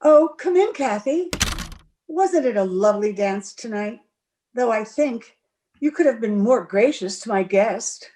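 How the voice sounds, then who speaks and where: A woman acts a defiant, lovestruck young gentlewoman in an old-time radio play. Her voice is fairly high and her pace is very unhurried, with emphatic theatrical weight on the words. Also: lively intonation, background noise. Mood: airy, defensive. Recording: remote video-call recording.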